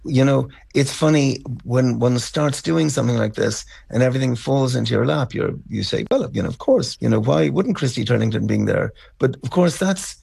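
A middle-aged or older Irish man telling stories as a musician being interviewed, reflective and somewhat halting. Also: mid-range voice, creaky, a little mellow, breathy edge, dry recording, clean studio sound. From County Cavan, Ireland. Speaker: interview guest, band musician. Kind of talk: conversational storytelling interview.

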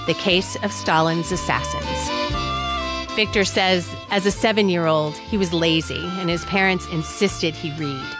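A woman radio host reading a scripted closing narration in a steady, even way. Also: lowish voice. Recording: music bed under the voice.

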